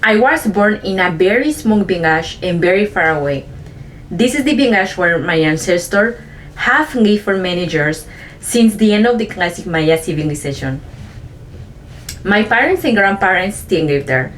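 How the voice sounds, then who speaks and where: A woman's voice: medium pitch, expressive, moderate pace, slight background noise, rough recording. Earnest woman, Mayan-speaking vlogger. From Chiapas, Mexico. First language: Chong (a Mayan language). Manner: earnest, simple sentences, careful non-native English. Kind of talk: reflective personal narration.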